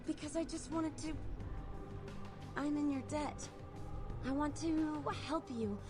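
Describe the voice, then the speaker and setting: Eager, high-voiced, needy young woman. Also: fairly even intonation, slow. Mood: nervous, earnest. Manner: breathless, pleading, hesitant. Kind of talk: video game voice acting. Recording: music behind the voice.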